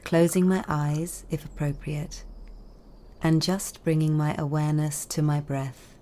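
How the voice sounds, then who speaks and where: Woman, low-pitched, mellow tone, calm meditation guide, soothing measured delivery, long pauses. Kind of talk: guided body scan meditation narration.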